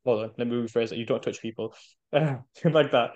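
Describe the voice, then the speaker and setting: A British man chatting casually as a podcast guest, telling animated anecdotes and acting out bits of dialogue in a chatty way. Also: mid-range voice, brisk, lo-fi sound. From United Kingdom. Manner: chatty, animated storytelling with self-quoted dialogue.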